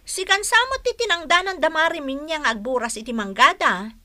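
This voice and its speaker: A woman's voice: high-pitched, expressive, brisk, bright, forceful tone, no room sound, exaggerated voice. A woman with an Ilocano accent playing a nosy, outspoken village neighbour in a radio comedy drama. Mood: nosy, scolding.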